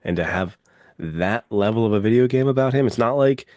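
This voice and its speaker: Young male co-host, very low voice, dry close mic, upbeat and casual. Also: a little fast, dry recording. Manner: upbeat, excited, casual fillers. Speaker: podcast co-host. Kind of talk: casual chat.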